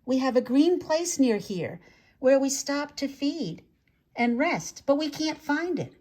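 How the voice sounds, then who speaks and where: A woman's voice: sits high, big pitch swings, unhurried, a little mellow, pitched above the performer's own voice. A woman voicing a swarm of fragile, frightened, lost butterflies in a storybook, delicate and pleading. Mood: lost, plaintive.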